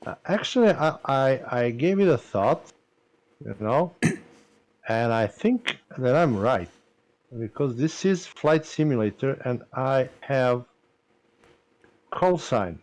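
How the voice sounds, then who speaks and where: Serbian-accented man chatting haltingly. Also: medium pitch, very animated, a little mellow. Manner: hesitant, halting with many fillers. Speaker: streamer. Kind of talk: casual chat on a live stream.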